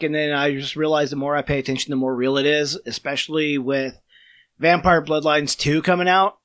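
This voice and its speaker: A man's voice: fairly high pitch, fairly bright tone, no room sound. A man with a smoker's voice hosting a casual gaming podcast, crude and sarcastic. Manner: crude, sarcastic, riffs and laughs. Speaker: podcast host.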